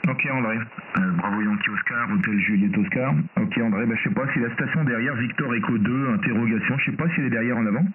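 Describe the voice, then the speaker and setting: A man's voice: medium pitch, fast. A man from France with a metropolitan French accent, chatting casually as an amateur radio operator. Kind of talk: casual ham radio chat with spelled call signs. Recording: noisy, narrow-band, distant shortwave radio. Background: radio static and clicks.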